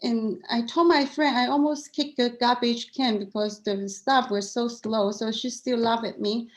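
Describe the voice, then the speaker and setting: A middle-aged woman with a Cantonese accent answers interview questions in an earnest, candid way. She often repeats and restarts her words, and her voice sits a little high for a woman. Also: steady tone, measured pace, rough recording. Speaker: guest. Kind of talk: interview conversation.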